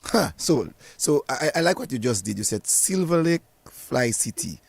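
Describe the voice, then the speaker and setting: A man's voice: mid-range voice, big pitch swings, normal speed, vocal fry, husky. A man hosting a podcast, interviewing a guest with probing questions and repeated words as he cuts in. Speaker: podcast host.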